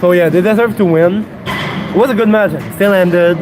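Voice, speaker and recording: A man's voice: sits high, moderate pace, noisy background. A young man from Quebec, a native French speaker with a Quebec French accent, chatting casually on a live stream in a gym and grunting between sets. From Quebec, Canada. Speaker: livestreamer. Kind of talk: casual live-stream chat while working out. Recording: music playing in the background.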